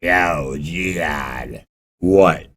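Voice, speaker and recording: A man's voice: rumbling low, drawn-out words, heavily acted. A man voicing a brash rapper puppet bandleader, speaking in rhythmic, slangy rhymed rap. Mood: brash, prodding. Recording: loud music over the voice.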